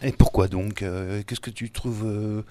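A man's voice: fairly low pitch, steady tone, measured pace, dark tone. A man hosting a local radio interview, asking questions with many fillers.

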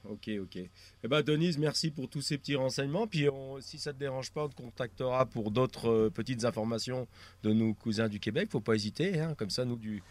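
A man's voice: medium pitch, brisk. A man hosting a casual radio interview, friendly and hesitant with fillers. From Vercors, France. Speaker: radio host.

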